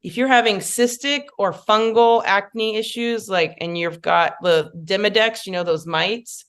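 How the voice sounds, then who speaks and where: A woman's voice: medium pitch, a little slow, a bit bright, clear, resonant tone. A woman makeup artist, chatting casually as a podcast guest with many fillers and asides.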